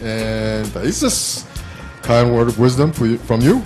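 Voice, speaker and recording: A man in his fifties casually hosting an internet talk show from a small studio, with a halting, informal delivery. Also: mid-range voice, lively intonation, a little mellow, soft background music. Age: 50s.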